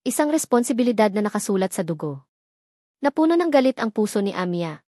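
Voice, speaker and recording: A woman's voice: medium pitch, very animated, fast talker, warm tone, dry recording, clean studio sound. A woman with a Filipino accent reading a scripted romance story as a narrator, in a solemn, melodramatic manner.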